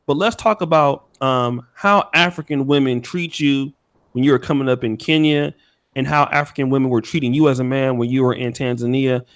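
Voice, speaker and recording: A man's voice: mid-range voice, steady tone. Casual male podcast host. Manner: quick, loose questioning with profanity. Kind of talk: casual interview questions.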